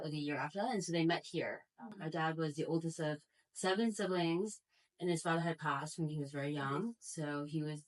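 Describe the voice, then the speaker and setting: American woman from new york city, lowish voice, telling a personal story. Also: poor audio. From New York City, United States. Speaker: panel guest, corporate finance director.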